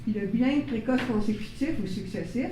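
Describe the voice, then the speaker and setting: A woman presents to a room of parents, explaining step by step and often checking that they follow. Her voice is mellow and soft-edged. It carries the echo of a meeting room, picked up from a far mic with faint noise behind. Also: on the high side, fairly quick. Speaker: workshop presenter on child bilingualism. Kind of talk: live workshop talk to parents. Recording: roomy sound from a distant mic, faint noise.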